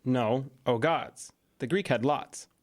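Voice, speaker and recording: A man's voice: takes it slowly, natural voice. A man playing a thoughtful teenage boy in an audio drama, explaining things in a matter-of-fact way. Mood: reasoning. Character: thoughtful teenage boy, newly priest to an oracle. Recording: clear outdoor ambience and vehicle rumble.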